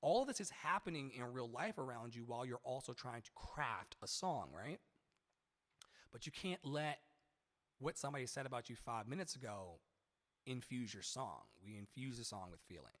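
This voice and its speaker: Brief: male vocal coach on a live stream, boomy baritone-tenor, loose and chatty, mid-pitched, long reverb tail on the mic. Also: expressive, normal speed, a bit bright. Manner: rambling, chatty, profane, sings snatches mid-talk. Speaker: singer and live-stream singing teacher. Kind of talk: casual live-stream talk with sung demos. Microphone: studio mic with added reverb.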